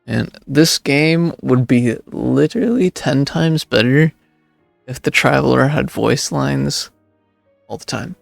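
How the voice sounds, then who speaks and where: A man's voice: medium pitch, animated, slow pace, creaky, dry recording. A man streaming a video game, giving casual reaction commentary. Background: game music.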